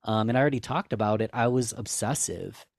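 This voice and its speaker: A man's voice: fairly quick, gravelly, a little mellow, no echo, studio quality. A man guest on a podcast, talking candidly and self-deprecatingly in casual chat, rambling with restarts. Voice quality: higher-pitched for a man.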